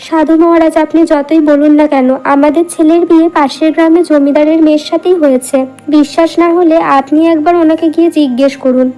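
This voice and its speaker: A woman's voice: high voice, steady tone, fairly quick, mellow, soft background music, natural voice, low-quality recording. A woman playing a middle-aged village wife and scheming mother-in-law in a cartoon. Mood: insistent. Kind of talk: Bengali moral-tale cartoon dubbing.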